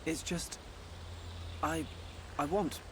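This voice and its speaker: An actor playing an earnest, gentle young hero in a video game, sincere and a little hesitant. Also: male voice, a little high, drawn-out words. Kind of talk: dramatic video game acting. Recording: clear background ambience noise.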